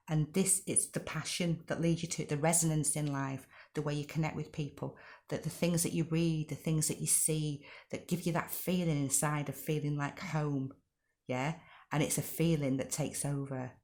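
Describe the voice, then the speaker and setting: A middle-aged woman with a slightly croaky voice gives a spoken tarot reading, talking gently, repeating herself and asking rhetorical questions. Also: low-pitched. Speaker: tarot card reader.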